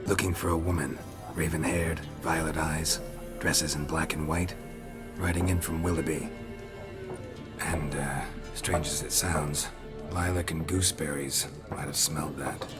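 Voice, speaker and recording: An actor playing a stoic, world-weary monster hunter in a video game, speaking tersely and deadpan in a gravelly voice. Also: male voice, extremely low pitch, measured pace. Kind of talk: video game cinematic acting. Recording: loud music behind the voice. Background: loud game music.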